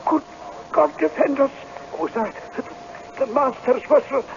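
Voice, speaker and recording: An actor playing a blunt, loyal, fearful old Scottish castle servant in a radio drama. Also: male voice, very high voice, a little slow, vocal fry, full character voice. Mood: terrified awe. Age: older. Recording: dull, noisy old radio recording.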